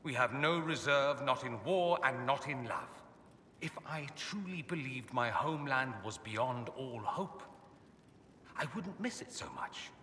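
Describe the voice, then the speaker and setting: Urbane, animated aristocratic mage in echoey hall. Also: male voice, medium pitch, a little slow. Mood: proud, wistful. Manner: urbane, flamboyant, dryly self-mocking. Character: witty, proud, theatrical aristocratic mage exile. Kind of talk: video game dialogue acting. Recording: echoey reverberant hall, faint background noise.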